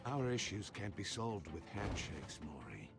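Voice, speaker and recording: A man voicing a menacing, coldly taunting riddle-posing villain in a video game, with a deliberate theatrical delivery. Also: sits low, big pitch swings, full character voice. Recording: loud game music underneath.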